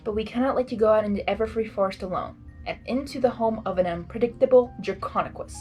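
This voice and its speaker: A woman voicing an earnest, sensible, protective young female pony in a storybook, measured and formal. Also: medium pitch, dark tone, faint music behind, lightly acted. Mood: serious.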